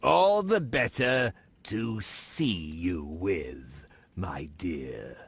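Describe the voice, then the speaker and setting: A man's voice: low voice, sing-song, breathy edge, heavily acted. An actor playing a sly male wolf in a children's cartoon, theatrical and falsely sweet as he schemes. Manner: slow, theatrical, falsely sweet when tricking. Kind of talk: children's cartoon storybook acting.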